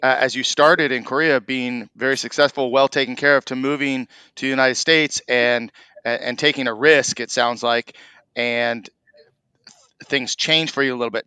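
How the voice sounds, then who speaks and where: A man's voice: mid-range voice, sharp tone, smooth, no echo. A man hosting a podcast, friendly and asking follow-up questions of his guest. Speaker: podcast host. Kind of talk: interviewing a guest.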